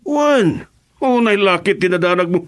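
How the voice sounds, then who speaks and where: Brief: brash henchman voiced by a man, higher voice, lively pitch, radio drama. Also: measured pace, no echo. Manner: brash, impatient. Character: brash restless henchman, eager to fight.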